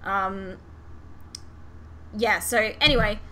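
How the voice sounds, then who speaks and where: A woman's voice: medium pitch, slow. A woman podcast host chatting casually and animatedly about a fandom, swearing freely. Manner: animated, sweary, giggly retelling. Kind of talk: casual fan podcast chat. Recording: clear background noise.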